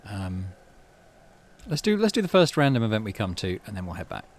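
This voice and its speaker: A man, middle-aged, hosting a game playthrough, mixing casual commentary with expressive story reading. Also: fairly low pitch, a little fast. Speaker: let's play host.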